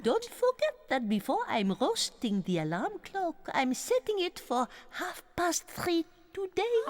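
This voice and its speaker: A woman's voice: extremely high pitch, heavily acted. An actress playing an imperious, gleefully wicked witch leader in a dramatized audiobook, commanding and gloating, swinging from hiss to shriek. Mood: wicked glee. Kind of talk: fully dramatized audiobook acting. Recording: music behind the voice. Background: music.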